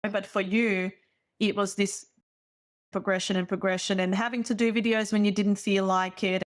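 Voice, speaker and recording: A woman's voice: mid-range voice, little pitch movement, normal speed. Encouraging woman podcast host. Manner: encouraging, reflective. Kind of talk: casual interview chat.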